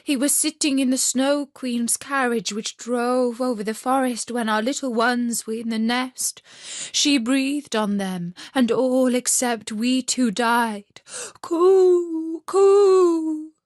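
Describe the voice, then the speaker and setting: A woman plays a storybook wood pigeon, gentle and mournful. The voice is lifted and slow, with long cooing words drawn out for young listeners. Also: high voice, dry recording, studio quality, voice pushed up. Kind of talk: children's fairy tale audiobook.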